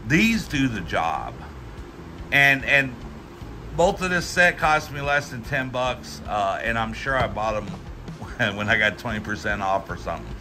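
A middle-aged American man giving a casual, folksy hands-on product review, talking in a halting, rambling way with repeated words. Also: mid-range voice, animated, bright, forceful tone, soft background music. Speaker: tool review channel host. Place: indoors at a workbench.

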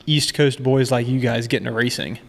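A man's voice: mid-range voice, fairly even intonation, brisk, in a large hall. A man in his late twenties hosting a podcast, asking questions and telling stories in an easygoing, casual way. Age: late 20s. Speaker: podcast host. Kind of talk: casual interview chat.

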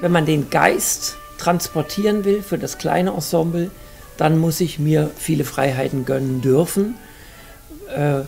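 Gravelly middle-aged man in interview. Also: on the high side, measured pace, over music. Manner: thoughtful, hesitant with fillers, gestures while talking. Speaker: musical arranger. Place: indoor interview set.

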